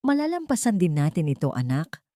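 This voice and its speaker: Woman playing a gentle, soothing older mother. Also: fairly low pitch, sing-song, fairly quick, no echo, clean studio sound. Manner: soothing, hushed. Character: gentle, supportive elderly mother.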